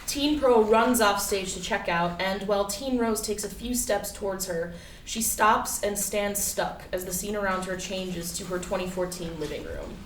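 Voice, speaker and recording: A woman's voice: medium pitch, expressive, moderate pace. A woman reading stage directions as scripted narration for a play reading, recorded in an echoey space. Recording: echoey, distant mic, faint background noise.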